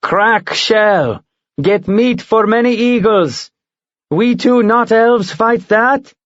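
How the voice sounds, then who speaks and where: A man's voice: sits high, slow, fairly bright tone, no echo, voice pushed up. A man voicing a blunt giant eagle. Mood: gruff eager. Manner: broken, clipped speech. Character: giant male eagle, blunt, eager to fight. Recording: dull lo-fi recording.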